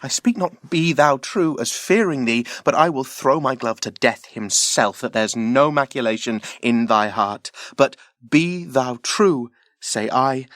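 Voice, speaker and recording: A man's voice: mid-range voice, lively intonation, unhurried, hardly any pauses, a bit bright, no room sound. A passionate, jealous young prince of Troy. His middle-range voice is declamatory and earnest, speaking classical verse for an audio drama. The sound is dry and intimate. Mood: earnest devotion.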